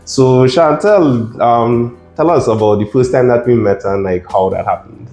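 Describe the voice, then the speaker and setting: A Trinidadian man hosting a casual interview, relaxed and encouraging with frequent short replies. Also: mid-range voice, measured pace, echoey room, faint music behind. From Trinidad and Tobago. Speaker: host.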